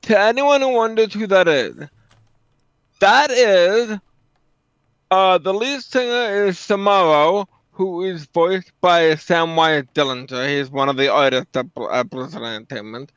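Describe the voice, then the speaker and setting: A dull, dry voice-chat recording of a man with a clean, smooth voice. He talks slowly and casually as a co-commentator on a livestream. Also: high-pitched, a bit bright, no room sound. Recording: dull, band-limited voice chat audio.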